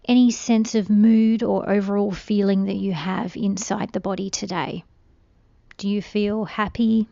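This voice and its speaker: A woman guiding a meditation in a gentle, soothing, unhurried way. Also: medium pitch, gravelly, dry recording, highs cut off. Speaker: meditation guide. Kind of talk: guided meditation.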